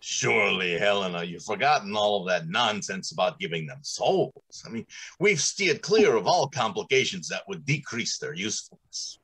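Husky, animated, self-assured director played by a man. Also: on the low side, moderate pace, heavily acted, poor audio. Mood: breezy assurance. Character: confident, dismissive factory director and husband. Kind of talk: old-time radio drama acting. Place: small room at home, on a video call.

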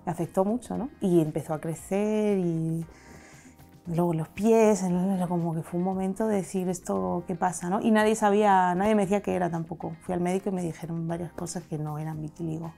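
A woman in her thirties from Madrid with a Castilian Spanish accent, speaking candidly and reflectively in an interview, seated on a sofa in a bright studio with a lapel mic. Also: lowish voice, fairly even intonation, a little fast, vocal fry, faint music behind. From Madrid, Spain. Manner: reflective, open, gesturing with her hands. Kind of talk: candid personal testimony.

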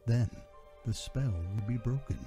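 A man giving a dramatic, scripted fairy-tale narration in measured, solemn, short phrases. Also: mid-range voice, fairly even intonation, warm tone. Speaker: podcast host and narrator. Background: music under the voice.

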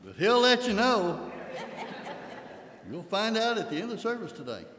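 A man's voice: sits high, big pitch swings, normal speed, fairly bright tone, slight background noise. An older man with an East Texas accent giving folksy church announcements from a pulpit, with many filler uhs. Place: large church sanctuary with choir behind. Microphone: pulpit mic. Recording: long reverb of a big sanctuary.

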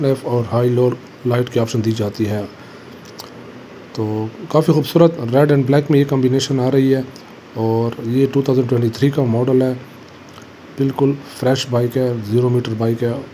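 Man calmly reviewing a motorbike. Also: medium pitch, slight background noise. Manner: plain, matter-of-fact, pauses with fillers. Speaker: motorbike vlogger. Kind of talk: casual walkaround bike review. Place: indoor motorbike showroom.

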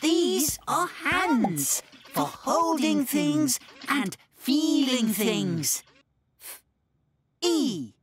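A woman voicing Double E, cheerful twin letter blocks in a children's cartoon, bubbly and excited, over music. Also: high-pitched, very slow, crowd noise, heavily acted. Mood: gentle.

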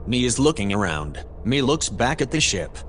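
An actor playing a composed analyst who reports events in a measured, formal way in a sci-fi audio drama. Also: male voice, medium pitch, sing-song, lightly acted. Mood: composed, observant. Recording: clear background noise and ambience.

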